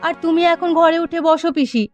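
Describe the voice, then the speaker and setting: A woman plays a village mother in a cartoon fairy tale. Her voice is high, caring and practical. Light music runs beneath it. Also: a little fast, lo-fi sound. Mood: caring, brisk.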